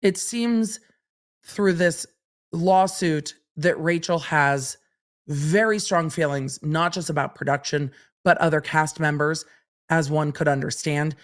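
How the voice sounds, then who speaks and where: Slightly raspy woman explaining legal points. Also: sits low, takes it slowly. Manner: explains statutes point by point, asks and answers her own questions. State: slightly raspy from long days of streaming. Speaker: legal commentator and podcast host. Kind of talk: solo legal podcast commentary.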